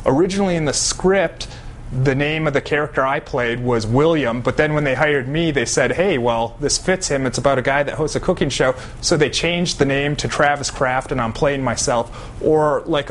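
A man's voice: medium pitch, slight background noise. A young adult man giving a casual, informal introduction to camera while seated in a living room. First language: English. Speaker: video presenter, actor.

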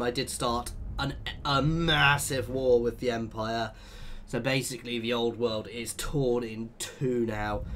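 A man's voice: mid-range voice, slight background noise. A man with a bunged-up cold, chatting and thinking aloud as he commentates his own strategy game playthrough. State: congested from a cold. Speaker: let's play host.

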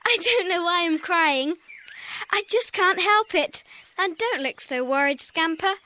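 A woman's voice: very high voice, expressive, a little slow, sharp tone, a little hiss or hum, full character voice. A woman playing a sensitive little schoolgirl, crying as she speaks, in a children's audio drama. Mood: tearfully happy.

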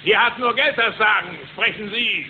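A man's voice: high-pitched, normal speed, lightly acted. An actor playing a greedy, gloating duck tycoon in a cartoon audio drama, a man on dull, noisy tape.

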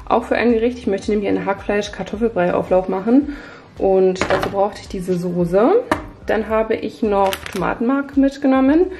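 A woman's voice: medium pitch, darkish tone. A woman in her thirties chatting casually through a family grocery haul in her kitchen, showing each item to her viewers. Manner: chatty, upbeat product run-through. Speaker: family vlogger. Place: home kitchen at night.

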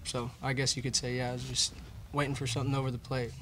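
A man's voice: mid-range voice, little pitch movement, quick pace, sharp tone. A young man, a college baseball player, earnestly answering questions about his team at a press conference. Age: young adult. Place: indoor press conference room. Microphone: table microphone.

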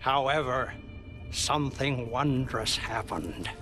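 Betrayed scientist, bitter, darkly exultant, mid pitch, slow dramatic game narration, music behind. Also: male voice, animated. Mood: brooding.

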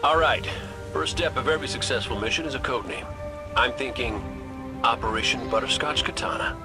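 A man's voice: medium pitch, brisk, close to an everyday voice. A man voicing a wisecracking, easygoing veteran hunter in a video game, with a wry, breezy manner. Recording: game audio under loud music. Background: loud game music.

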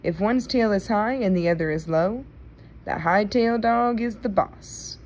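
A woman reading a children's nonfiction book aloud in a careful, deliberate manner. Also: mid-range voice, a little mellow, a little hiss or hum. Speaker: read-aloud reader.

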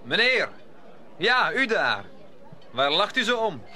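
An actor playing a brave, hot-headed young would-be musketeer in a radio drama, indignant and challenging. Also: male voice, sits high, big pitch swings, slow pace, pushed and bright, some chatter behind, exaggerated voice. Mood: defiant.

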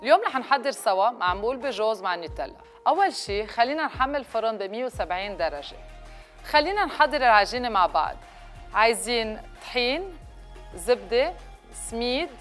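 A woman in her thirties with a Lebanese accent, presenting a recipe to camera and mixing in some English words. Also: on the high side. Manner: mixes English words into speech. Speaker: cooking show host. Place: kitchen set, indoors. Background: music.

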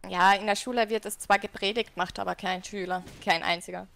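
A woman's voice: medium pitch, steady tone, fairly quick, gravelly, a bit bright. A young woman from outside Germany, a guest player, giving short matter-of-fact answers in casual gaming chat.

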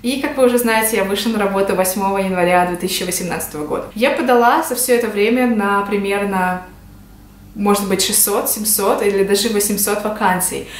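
A woman's voice: medium pitch, fairly quick. A woman in her twenties from Russia, talking casually to the camera as she explains things in a vlog. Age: 20s. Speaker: vlogger. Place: small indoor room at home. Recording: echoey home room.

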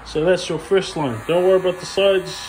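A young male barber talks a viewer through a haircut step by step, relaxed and unpolished, with plenty of ums. His voice sits a little high for a man. Faint noise and the soft buzz of clippers hang in the background. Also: a little fast, low-quality recording. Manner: relaxed, plain instructions with frequent ums. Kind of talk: hands-on haircut tutorial.

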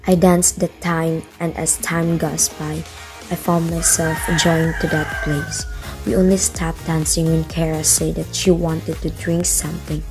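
A woman's voice: low-pitched, flat delivery, unhurried. Woman playing a troubled, defiant teenage girl, music behind. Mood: reflective narrating. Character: troubled, defiant teenage daughter telling her story. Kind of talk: amateur radio drama acting and narration.

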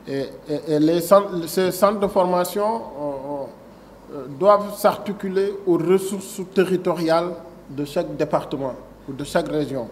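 A man's voice: on the high side, a little slow. Senegalese official in his forties, slightly raised voice, table mic in a meeting room with a light hiss underneath. He hesitates and restarts as he talks. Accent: Senegalese French. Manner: reads prepared text, then digresses with many fillers and restarts. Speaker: agency director giving a speech. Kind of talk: formal speech at a signing ceremony. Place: indoor conference room. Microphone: table microphone.

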